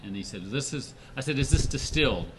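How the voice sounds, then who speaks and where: A man hosting a casual podcast chat, an animated storyteller who laughs often and acts out other people's lines. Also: mid-range voice, fast, background noise. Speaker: editor-in-chief and host.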